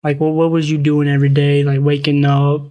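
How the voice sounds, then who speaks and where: A young man hosting a casual podcast interview, asking loose questions in slangy speech and reacting often with quick exclamations. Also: a little high, monotone, dark tone. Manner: slangy, frequent "oh, shit" reactions. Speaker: podcast host.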